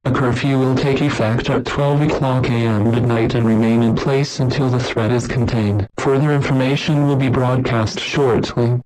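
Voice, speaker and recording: A man delivers a scripted emergency broadcast as an announcer, formal, measured and impersonal. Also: mid-range voice, steady tone, darkish tone, slight background noise, poor audio.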